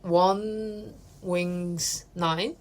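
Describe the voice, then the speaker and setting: Korean woman podcast co-host, lowish voice, hesitant with lots of fillers. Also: steady tone, drawn-out words. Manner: hesitant, many fillers, frequent laughs. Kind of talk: casual chat.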